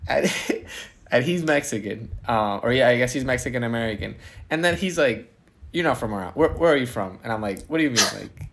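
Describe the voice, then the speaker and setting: A young man with a Puerto Rican Spanish accent, speaking at a mid pitch. He talks in a relaxed, storytelling way and laughs easily. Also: brisk. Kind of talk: casual conversation.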